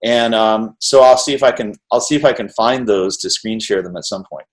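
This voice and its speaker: A middle-aged man hosting an interview over a video call, talking in an energetic, rambling way and often tagging on "right?". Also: on the low side, a little fast, low-quality recording. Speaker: interview host. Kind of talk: animated casual conversation.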